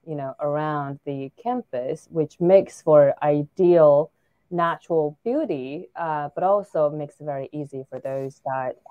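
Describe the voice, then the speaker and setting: A woman in her forties from China speaks as an interview guest, thoughtful and full of fillers and restarts. Her voice is low and mellow. The sound is dry and a little muffled. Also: no echo. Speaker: guest, startup founder. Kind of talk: casual interview conversation.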